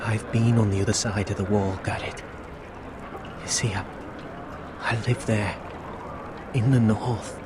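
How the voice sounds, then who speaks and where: An actor playing a friendly, uneasy young wildling man in a video game, speaking in a halting, confiding way. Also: lowish voice, steady tone, lightly acted. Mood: uneasy, confessing. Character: friendly young wildling man hiding among sworn watchmen, earnest, uneasy. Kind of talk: dramatic video game voice acting. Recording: clearly noisy outdoor ambience.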